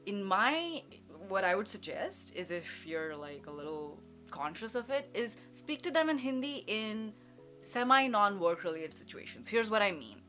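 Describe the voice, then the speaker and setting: A young woman from India, chatting casually as a streamer giving advice, self-deprecating and quick to laugh. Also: medium pitch, very animated, fairly bright tone, faint music behind. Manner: chatty, self-deprecating, laughs often, switches into Hindi and Japanese.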